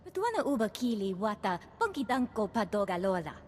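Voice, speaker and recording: An actress playing an animated female alien in a video game, speaking a bouncy invented tongue. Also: mid-range voice, a little fast, big-room sound.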